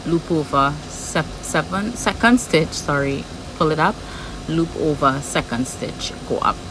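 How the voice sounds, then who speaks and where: A woman's voice: low-pitched, lively intonation, a little slow, background noise. A woman from Trinidad with a strong Trinidadian accent, talking viewers through a crochet pattern step by step and patiently repeating each move as she works. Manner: patient, repeats steps while working. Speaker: crochet tutorial host. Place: home room with background noise.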